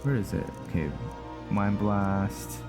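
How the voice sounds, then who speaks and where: A man with a deep voice commentates casually on a roleplaying game. He talks slowly and mutters his thoughts aloud, with loud music playing behind him. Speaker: gameplay host.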